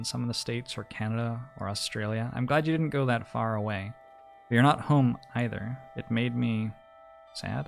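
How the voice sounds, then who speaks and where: Game role: man reads a doting, anxious middle-aged mother, gentle and plain-spoken, creaky low-key delivery over soft music. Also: close to an everyday voice. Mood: wistful. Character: worried, doting middle-aged mother writing to her grown child.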